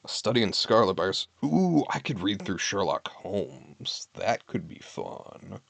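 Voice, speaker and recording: A man's voice: sits low, very animated, a little slow, creaky, a bit bright, no echo. A man streaming from home, chatting casually with his viewers in a candid, wry way. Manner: candid, wry, reads list items aloud and comments.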